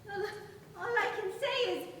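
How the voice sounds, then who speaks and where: A woman playing a genteel, excitable young heiress in a staged radio play, with a theatrical delivery. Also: extremely high pitch, measured pace. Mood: amazed. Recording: echoey stage, distant mic, faint noise.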